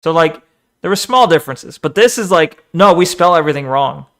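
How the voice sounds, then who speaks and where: Animated male streamer, slightly high voice. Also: brisk. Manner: animated, swears, talks back to the screen. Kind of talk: casual live-stream chat and video reaction.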